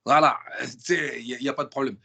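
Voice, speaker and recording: Husky-voiced man talking animatedly. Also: mid-range voice, racing. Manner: emphatic and animated, full of restarts and repetition. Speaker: interview guest. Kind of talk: casual interview chat in slangy French.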